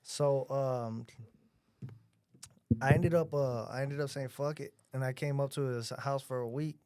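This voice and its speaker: A man's voice: mid-range voice, fairly even intonation, unhurried, a little mellow, smooth. A man in his twenties casually telling a story in a podcast chat, laid-back, with loose slang and swearing. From United States. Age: 20s. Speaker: rapper and producer.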